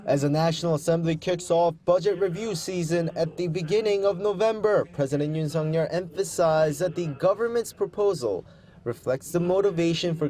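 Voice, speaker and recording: A man with a fairly high-pitched voice narrates a scripted news package. He speaks in the formal style of a broadcast reporter. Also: warm tone, poor audio.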